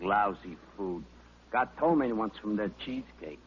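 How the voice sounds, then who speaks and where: An actor playing a dim, easily offended police sidekick in old radio comedy-drama style. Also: male voice, fairly low pitch, expressive, unhurried, breathy edge. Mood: grumbling. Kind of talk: 1940s-50s radio acting. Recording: old radio broadcast, very narrow muffled band.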